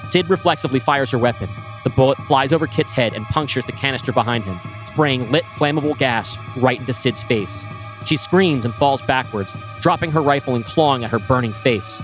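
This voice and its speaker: A man reading his screenplay aloud, with brisk scene-setting narration. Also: medium pitch, music in the background, poor audio. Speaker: writer pitching a screenplay.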